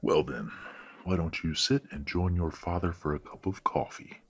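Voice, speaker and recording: A man playing a stern, courteous, middle-aged werewolf father in an audio roleplay drama, speaking in a formal, measured, authoritative way. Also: low voice, slight background noise. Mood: civil, measured.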